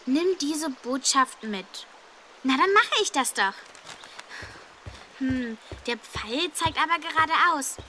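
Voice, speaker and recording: A woman's voice: sits high, expressive, slow, long pauses, bright, forceful tone, slight background noise, full character voice. A woman playing a cheerful, confident young girl in a children's audio drama. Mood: curious, musing.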